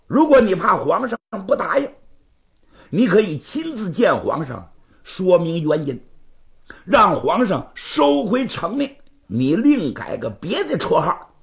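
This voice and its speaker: A storyteller voicing a proud, hot-headed swordsman with wildly swinging pitch. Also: very animated, unhurried, gravelly, full character voice. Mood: stern, demanding. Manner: brusque, imperious, confrontational. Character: proud hot-headed young swordsman challenger, haughty and quick to threaten. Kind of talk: Chinese storytelling performance.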